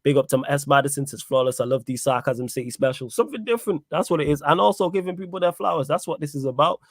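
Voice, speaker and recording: A young man hosting a casual live stream, giving shout-outs and reading out chat messages. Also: fairly high pitch, expressive, fast talker, no echo, clean studio sound. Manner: hypes viewers, reads chat messages aloud. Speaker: host.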